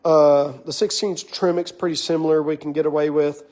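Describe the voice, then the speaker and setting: Hoarse man talking through a car build. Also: a little high, steady tone, brisk, a little mellow. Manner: rambling, filler-heavy, enthusiastic about parts. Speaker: shop owner showing a car build. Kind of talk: casual shop walkaround talk. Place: car workshop.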